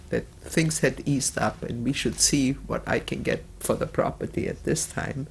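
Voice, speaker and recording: Older lady telling her story in an interview, real slow, lots of uhs, gravelly voice. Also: rumbling low, slight background noise. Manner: hesitant, many filler pauses; slow, measured. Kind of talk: oral history testimony.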